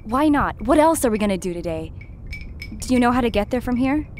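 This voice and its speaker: A woman's voice: fairly high pitch, animated, fairly quick, darkish tone, natural voice. A woman playing a bold, teasing crew member in a sci-fi audio drama, casual and wry, in a very noisy recording. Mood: eager. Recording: very noisy, ambience behind.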